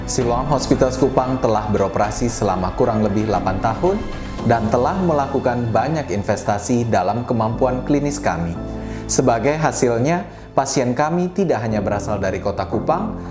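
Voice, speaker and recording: A man from Indonesia, a doctor and hospital director, delivering a formal scripted corporate presentation. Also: medium pitch. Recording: echoey room with music behind. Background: background music.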